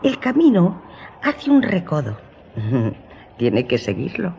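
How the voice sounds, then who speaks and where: Radio drama role: greedy innkeeper's wife, slow and insinuating, swinging pitch, slightly noisy recording. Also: female voice, huge pitch swings, gravelly, dark tone, exaggerated voice. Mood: sly, goading. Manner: insinuating, goading. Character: sickly, greedy, scheming innkeeper's wife, falsely hospitable. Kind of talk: Spanish-language radio drama acting. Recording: dull lo-fi audio, faint noise.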